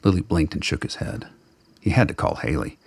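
A man's voice: a bass voice, lively intonation, a little fast, creaky, no room sound. A man giving dramatic scripted narration of fiction in a brooding, literary storytelling manner. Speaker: narrator of a radio play.

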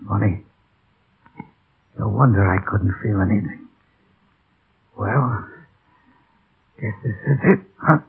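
An actor playing a brave, stoic young pilot who is badly hurt, weak and gravelly, in 1930s radio drama. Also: male voice, fairly low pitch, takes it slowly, long pauses, crowd noise. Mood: fading, brave. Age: young adult. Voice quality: gravelly, creaky. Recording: dull, faintly noisy old radio recording.

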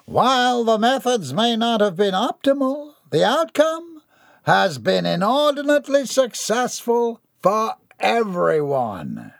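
Brief: male reader as grandiose villain tycoon, high, loud, slow, pompous storybook voice. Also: big pitch swings, gravelly, dry recording, studio quality, exaggerated voice. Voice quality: grandiose, theatrical, drawn-out. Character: pompous self-crowning male swindler tycoon. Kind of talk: storybook character voice.

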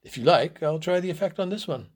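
A man's voice: a little high, animated, fast, voice pushed up. A man voicing a formal, precise and unruffled lord who is pedantic, in a story reading. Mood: helpful. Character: pedantic, unruffled male lord, wild beast expert.

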